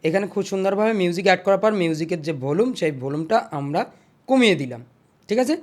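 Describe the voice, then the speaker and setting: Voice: mid-range voice, expressive, a little fast, a little mellow. A tutorial host narrating screen steps in an instructional way, repeating each step before moving to the next.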